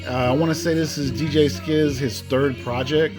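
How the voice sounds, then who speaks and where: A man's voice: a little high, fairly even intonation, normal speed, low-quality recording. A man hosting a casual, unscripted collector's vlog in a laid-back manner, often tagging phrases with "man.". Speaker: host showing off a cassette collection. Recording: music playing behind the voice. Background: music.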